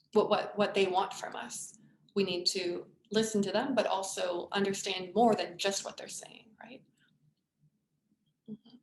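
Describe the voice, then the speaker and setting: A woman's voice: mid-range voice, vocal fry, roomy sound, slight background noise, poor audio. A woman facilitating an online workshop, speaking thoughtfully with frequent fillers and restarts over a video call.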